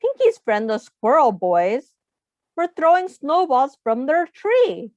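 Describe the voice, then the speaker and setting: Lady reading a kids book, super animated n slow, teacher style, kinda echoey and muffled. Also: high-pitched. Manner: expressive, asks the listeners questions. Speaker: teacher reading aloud to young children. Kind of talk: children's picture book read-aloud. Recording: muffled, echoey room sound.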